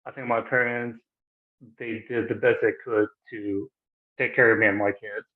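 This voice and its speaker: A man in his thirties from Iraq, a podcast guest telling his story in a halting, casual way with many restarts. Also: lowish voice, little pitch movement. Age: 30s. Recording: tinny phone-like line.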